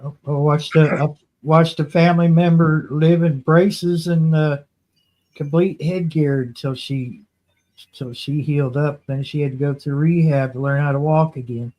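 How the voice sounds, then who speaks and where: A man's voice: on the high side, steady tone, normal speed, highs cut off. A man from Ohio telling stories casually, in a rambling, folksy way, circling back over his phrases. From Ohio, United States. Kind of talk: casual anecdotal storytelling.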